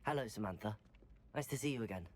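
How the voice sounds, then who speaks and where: Very deep-voiced polite student. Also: female voice. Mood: polite, modest. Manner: courteous, measured. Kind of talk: scripted video game acting.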